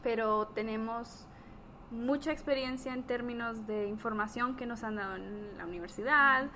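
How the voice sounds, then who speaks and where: A woman in a casual discussion, explaining earnestly as a co-host and pausing between phrases. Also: a little high, sharp tone, a little hiss or hum. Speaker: therapist, podcast co-host.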